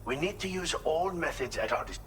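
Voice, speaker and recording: Analytical male game colleague: precise, bright, forward voice with faint noise behind. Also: fairly high pitch, expressive, a little fast. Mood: curious, businesslike. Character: analytical male colleague reporting on odd technology. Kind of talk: scripted video game cutscene acting.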